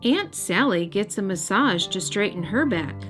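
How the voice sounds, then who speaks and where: A woman voicing an earnest, inventive little boy in a storybook, thoughtful and gentle. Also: mid-range voice, animated, measured pace, over music, lightly acted.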